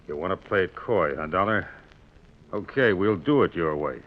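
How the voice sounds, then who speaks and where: A man's voice: sits low, lively intonation, normal speed. An American actor playing a terse, threatening, suspicious gunman in a 1950s radio crime drama. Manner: terse, threatening, tough-guy slang. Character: menacing, suspicious male gunman and payroll robber. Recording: muffled, noisy 1950s radio recording.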